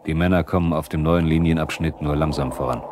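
A probing male narrator in a 1970s East German radio drama. His voice is extremely deep, and he speaks straight to the characters. The old record adds light noise. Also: steady tone, fast, darkish tone. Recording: noisy 1970s vinyl radio play.

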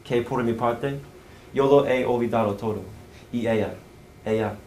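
A young male student declaims verse at a middling pitch, stumbling now and then. The room is very reverberant, with faint noise in the background. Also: a little slow, a little mellow. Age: young adult. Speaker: student reciting in a contest. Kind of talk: poetry recitation.

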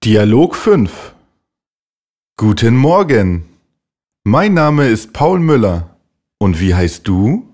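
Slow, lively phrase reader, male. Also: medium pitch. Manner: slow, clearly enunciated model phrases for repeating. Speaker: German language teacher voice. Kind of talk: slow scripted German phrase reading.

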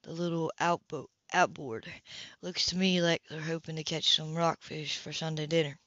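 A woman's voice: deep voice, fairly even intonation, normal speed, a bit bright, dry recording, pitched below the performer's own voice. An easygoing sailor in a novel read by a woman, teasing and at ease as he explains things. The voice sits close and dry, with slightly dull sound. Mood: calm, explaining. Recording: dull, lo-fi audio.

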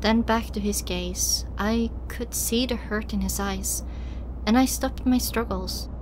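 A woman reading scripted first-person fiction as an audiobook narrator, in an expressive, dramatic way. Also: on the high side, measured pace, noisy background.

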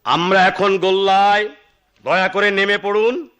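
An actor playing an excitable, sardonic talker in a satirical radio play, exaggerated and mock-reassuring. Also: male voice, high voice, measured pace, clear, resonant tone. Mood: animated, mock-serious. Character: excitable, sardonic talkative man explaining absurd railway affairs. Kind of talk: satirical radio drama acting.

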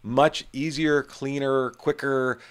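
A man's voice: mid-range voice, steady tone, slow pace, clear, resonant tone. A middle-aged man giving a casual, chatty screen-share tutorial, talking from a home office into a webcam and leaning on emphatic repeated words. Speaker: instructor.

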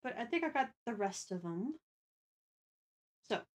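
Slow-paced woman chatting casually on stream. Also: fairly high pitch, expressive. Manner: thinking aloud, deliberate. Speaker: stream host. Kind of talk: casual chat on a livestream.

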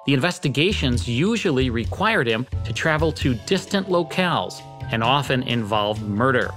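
A man's voice: mid-range voice, animated, normal speed, a bit bright. An American man in his fifties hosting a podcast with scripted narration introducing classic radio drama. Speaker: podcast host. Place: podcast studio. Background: music.

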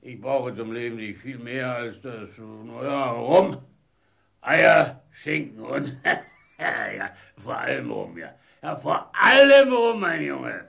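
An actor playing a gruff, boisterous old rum-drinking sea captain with a creaky, gravelly voice in a German radio play. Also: male voice, mid-range voice, lively intonation, a bit bright, full character voice. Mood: gruff, amused. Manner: blustering, drawn-out sailor talk with chuckles.

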